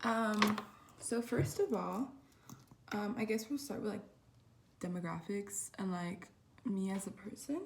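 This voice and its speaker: A woman's voice: medium pitch, unhurried, creaky, pauses often. A young woman from Southern California whose first language is Spanish, chatting casually in a vlog with lots of fillers and self-corrections, in a dorm room. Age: young adult. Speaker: vlogger. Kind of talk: casual chat.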